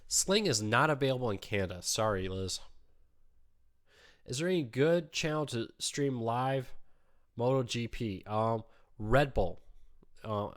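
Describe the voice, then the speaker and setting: A man hosting a casual live question-and-answer stream, talking in a relaxed, chatty way with lots of ums, his voice weak from a cold. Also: medium pitch, a little slow, long pauses. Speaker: host answering viewer questions.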